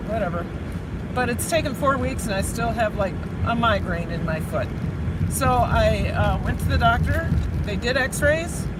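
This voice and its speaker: A woman chatting casually on her daily video blog, feeling feverish after a flu shot, talking while driving on a winter road. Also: fairly high pitch. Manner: chatty, rambling, laughs at herself. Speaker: vlogger. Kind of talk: casual chat. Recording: far from the mic, loud road noise.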